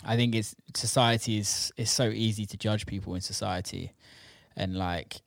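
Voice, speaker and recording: A man's voice: on the low side, fairly even intonation, normal speed, vocal fry, no echo. A man hosting an interview show, chatting in an informal, slangy way. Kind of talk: casual conversational interview.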